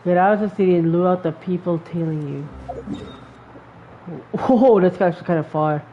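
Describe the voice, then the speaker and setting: A woman game streamer with a lowish, soft-edged voice. She comments casually and swears offhand, on a slightly dull recording with faint noise. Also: normal speed.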